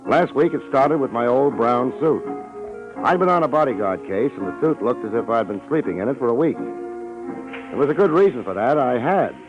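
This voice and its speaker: A man's voice: mid-range voice, muffled recording, natural voice. An actor playing a wry, easygoing American private detective in a 1950s radio drama, wisecracking and colloquial as he talks and narrates. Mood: wry narration. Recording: old radio broadcast recording with music.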